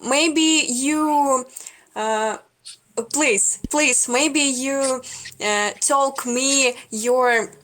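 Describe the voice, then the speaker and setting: An eighteen-year-old woman talks slowly over a video call, her voice sitting a little high. She uses short, simple English phrases and keeps breaking into giggles. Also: a bit bright, slight background noise. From Russia. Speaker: stranger on a video chat. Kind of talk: casual video chat.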